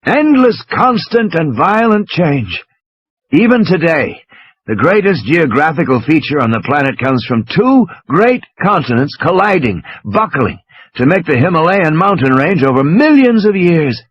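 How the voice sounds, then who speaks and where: A man's voice: a little high, big pitch swings, unhurried, breathy edge, muffled recording, heavily acted. A man playing an exasperated, scornful intellectual who lectures with emphatic passion in a dramatised audiobook. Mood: grand, intense. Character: impassioned, scornful male intellectual lecturing from his sickbed. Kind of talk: dramatised audiobook character reading.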